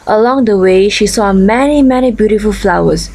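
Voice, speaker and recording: Woman storyteller with halting delivery. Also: mid-range voice, warm tone. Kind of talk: children's story narration.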